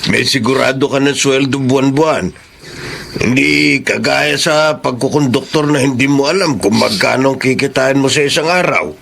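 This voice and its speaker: Gravelly, fussing old Filipino grandfather. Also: mid-range voice, a bit bright. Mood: attentive, concerned. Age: older. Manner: halting, hesitant, fussing. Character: doting elderly grandfather and worried father. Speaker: radio drama actor. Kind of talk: Filipino radio drama acting.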